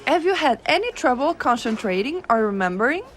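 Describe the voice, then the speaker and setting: A woman playing a gentle, teasing giantess secretary in an ASMR roleplay, coaxing and cooing softly. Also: high voice, very animated, slight background noise. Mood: gentle, attentive. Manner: soft coaxing, cooing, unhurried.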